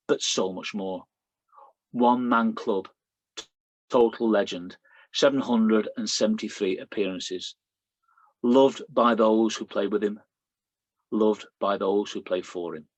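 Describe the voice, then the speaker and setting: Husky Lancashire man reading poems. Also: lowish voice, dry recording, highs cut off. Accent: Lancashire English. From Lancashire, England. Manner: rhythmic, emphatic reading with chatty asides and fillers. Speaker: poet. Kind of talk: poetry reading with spoken introductions.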